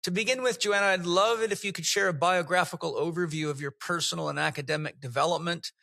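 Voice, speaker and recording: An effusive male podcast host with a bright, somewhat high voice. He speaks close to the mic in a dry, dead-sounding space. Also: steady tone, fast talker, gravelly, no echo. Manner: enthusiastic, effusive. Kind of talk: podcast interview.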